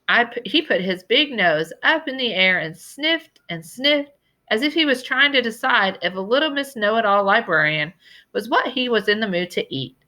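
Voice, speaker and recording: A woman reader plays an elderly, genteel lady librarian in a storybook. The voice is bright and forceful. She tells tales deliberately and dramatically, leaning on repeated phrases for emphasis. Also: a little high, lively intonation, pitched above the performer's own voice. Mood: playful, storytelling. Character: sad, sighing, genteel old Southern lady librarian telling tales. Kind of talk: storybook character voice in a read-aloud.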